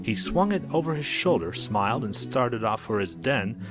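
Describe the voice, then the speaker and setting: A man's voice: mid-range voice, big pitch swings, moderate pace. A man narrating a rhyming children's story as a storyteller, with a sing-song, rhythmic delivery. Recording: loud music under the voice. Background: music.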